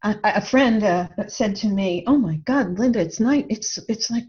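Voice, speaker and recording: A woman's voice: medium pitch, normal speed, a little mellow. A woman guest speaking earnestly and emphatically in a reflective conversation, sometimes repeating words as she goes. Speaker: interview guest, author and coach. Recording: dull, band-limited lo-fi audio.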